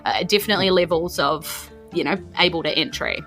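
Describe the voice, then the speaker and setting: Bright-voiced New Zealand woman, game master. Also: on the low side, a little fast, faint music behind. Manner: informal, meandering, conversational. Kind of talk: narrating a tabletop game, improvised.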